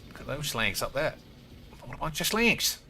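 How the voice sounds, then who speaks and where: A man's voice: on the high side, sing-song, normal speed, bright, forceful tone, faint music behind. A man giving casual, rambling gameplay commentary, swearing and wryly talking to himself. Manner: sweary, wry muttering to himself. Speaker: game streamer. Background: video game sounds.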